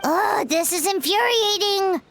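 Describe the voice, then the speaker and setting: A woman voicing a tiny, cheeky, childlike fairy companion in an anime video game, exclamatory and expressive. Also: measured pace, a little hiss or hum, heavily acted. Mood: frustrated. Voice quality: squeaky, childlike. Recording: music under the voice.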